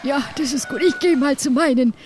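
A woman's voice: high-pitched, a little fast, darkish tone, a little hiss or hum, lightly acted. A woman playing a tender-hearted sailor who gushes and coos over her animals in an improvised audio drama. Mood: pleading. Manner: gushing, cooing, hesitant when pleading.

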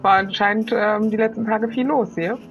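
A woman's voice: medium pitch, steady tone, moderate pace, muffled recording, soft background music, natural voice. A woman playing a friendly, generous pirate on a search, casual and upbeat in improvised role-play. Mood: inquiring.

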